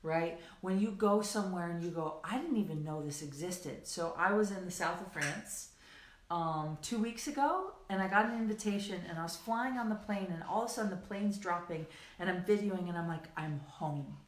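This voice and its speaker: A woman's voice: medium pitch, a little fast, slight background noise. A woman with a mid-range voice co-hosts an online coaching session. She chats informally and gushes with enthusiasm, rambling along and checking in with listeners. The sound is roomy and echoing. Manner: giggly, gushing, rambling with frequent "right" and "like". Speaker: co-host of a coaching livestream. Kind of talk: enthusiastic casual chat to an online audience.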